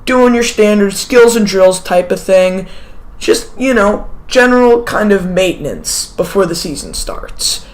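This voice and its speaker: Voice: medium pitch, expressive, a little slow. A sick teenager sniffles through a casual storytime monologue. The talk wanders, with asides, self-corrections and offhand remarks. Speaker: vlogger telling a personal story.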